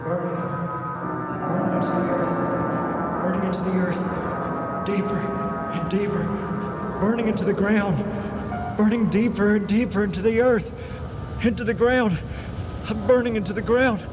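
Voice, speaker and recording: An actor playing a bewildered, halting astronaut lieutenant in an old-time radio drama. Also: male voice, high voice, muffled recording, over loud music, lightly acted. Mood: delirious. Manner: halting, stammering.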